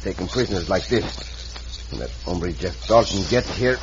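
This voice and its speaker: Gallant cowboy hero, deep voice, old radio drama, hiss. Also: male voice, moderate pace, highs cut off. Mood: grim. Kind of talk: 1950s radio drama acting.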